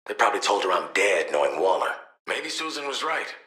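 A man's voice: low voice, fast, sharp tone, reverberant. An actor playing a cynical, cocky assassin and protective father in a video game, with an American accent and a wry, slangy, streetwise way of talking. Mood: subdued, sincere. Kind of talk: video game character voice lines.

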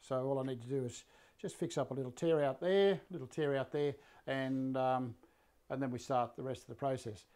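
A man in his sixties with a slightly croaky voice, casually explaining a woodworking build to a live audience from his workshop, full of fillers and restarts. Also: mid-range voice, normal speed. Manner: relaxed, rambling, many fillers and restarts. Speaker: woodworking teacher and live-stream host. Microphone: fixed camera, mid-distance framing.

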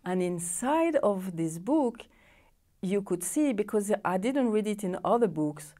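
A woman's voice: medium pitch, lively intonation, measured pace, warm tone. A middle-aged woman whose first language is French, hosting a TV studio interview in English with eager, non-native phrasing. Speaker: interview host. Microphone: lapel mic.

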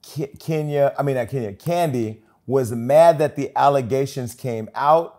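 A man in his forties hosts a talk show from a studio, pressing his guest with teasing, provocative questions. His voice sits at an ordinary middle pitch. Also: measured pace, mellow. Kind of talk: gossipy talk-show interview. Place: bright studio set with city view.

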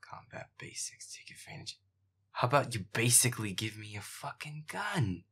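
A man playing a feisty, foul-mouthed werewolf boyfriend grumbling through a video game in a breathy, gravelly mutter. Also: medium pitch, big pitch swings, normal speed, a bit bright, whispered, exaggerated voice. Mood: tense muttering. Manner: irritable, sweary grumbling. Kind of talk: gameplay roleplay audio, muttered reactions.

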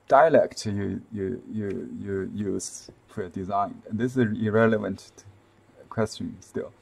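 A man in his fifties with a Chinese accent, explaining calligraphy on a live stream from a desk webcam, softly and with many fillers and restarts. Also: fairly low pitch, creaky. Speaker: calligraphy and seal-carving teacher. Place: indoor room with shelves, at a desk.

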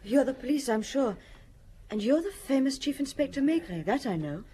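A composed housewife in a radio play, with a fairly high voice. She is courteous and forthcoming, explaining things in an easy conversational way. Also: lively intonation, normal speed, warm tone, slight background noise. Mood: courteous, curious. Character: polite, composed married housewife answering police questions. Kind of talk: radio drama acting.

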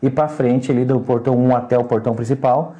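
A man's voice: mid-range voice, steady tone, fast, mellow, highs cut off. A middle-aged man explaining things as an official in an interview, often ending phrases with a tag question. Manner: frequent "né" tags. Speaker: traffic agency official being interviewed. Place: indoors at radio station.

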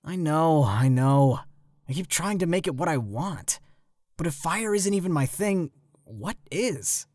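A performer voicing a self-conscious, frustrated teenage goat monster boy in a comic dub, expressive and self-deprecating. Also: medium pitch, unhurried, dry recording, clean studio sound.

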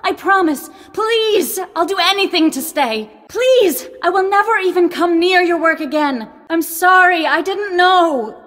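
A woman's voice: very high voice, lively intonation, measured pace, fairly bright tone, no echo, studio quality, full character voice. A woman voicing a bossy, competitive character at a dig site who explains a board game. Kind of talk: video game voice lines.

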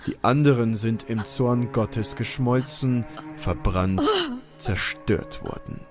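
Brief: male narrator for an adventure radio play, mid-pitched, storytelling over a loud score. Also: slow, music in the background. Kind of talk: dramatic scripted narration of an adventure audio drama.

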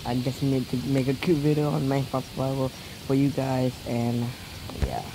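A man's voice: medium pitch, lively intonation, normal speed, heavy background noise. A fourteen-year-old boy chatting through mobile game commentary, his voice weak from a recent illness, rambling with many restarts. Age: teens, fourteen. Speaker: gameplay commentator. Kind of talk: casual let's-play commentary.

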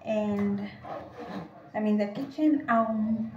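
A woman's voice: medium pitch, slow pace, darkish tone, muffled recording, slight background noise. A young woman with a Kenyan accent, casually vlogging about her day at home and mixing in Swahili phrases. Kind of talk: casual vlog narration. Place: home kitchen.

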